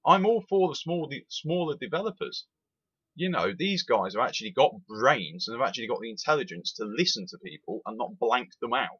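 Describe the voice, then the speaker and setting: A man's voice: fairly low pitch, husky, muffled recording. A man, giving an opinionated, emphatic rant as a rail sim reviewer. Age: 20s. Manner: animated, emphatic, repeats itself.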